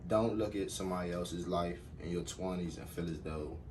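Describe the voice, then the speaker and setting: A man's voice: sits low, moderate pace, noisy background. A young man in his twenties giving laid-back, streetwise advice in casual chat. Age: 20s. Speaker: interviewee.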